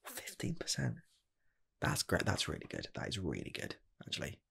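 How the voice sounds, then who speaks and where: A man playing an earnest rocket scientist applying for a fast food job, in a soft, half-whispered ASMR roleplay voice that rambles and restarts. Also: lowish voice, huge pitch swings, vocal fry, breathy edge, heavily acted. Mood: delighted. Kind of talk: ASMR personal attention roleplay.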